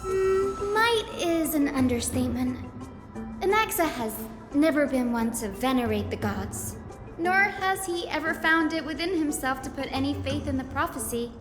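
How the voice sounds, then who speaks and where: Echoing, reverberant sound with clear music behind it. a young female academy scholar from a video game, high-pitched and articulate. She explains things thoughtfully, with a curious, musing manner. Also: animated, moderate pace. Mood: musing, intrigued. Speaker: assistant lecturer at a scholarly academy. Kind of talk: video game character dialogue.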